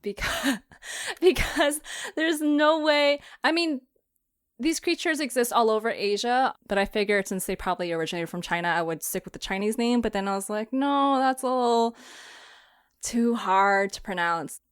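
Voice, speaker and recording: Giggly podcast host lady, higher voice, close dry sound, just chatting. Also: moderate pace, smooth, dry recording. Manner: giggly, laughs often while talking. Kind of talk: casual chat.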